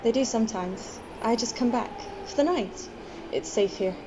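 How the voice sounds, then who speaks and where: A woman playing a guarded, quiet homeless woman in her twenties in an amateur radio play, speaking tersely with understated wistfulness. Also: on the high side, normal speed, lightly acted. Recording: dull, slightly noisy home recording.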